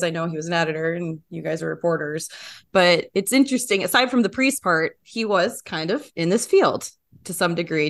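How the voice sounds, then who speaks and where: A woman's voice: fairly low pitch, dry recording. A woman hosting a podcast, asking conversational, wordy, inquisitive questions of her guests. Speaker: podcast host, interviewer.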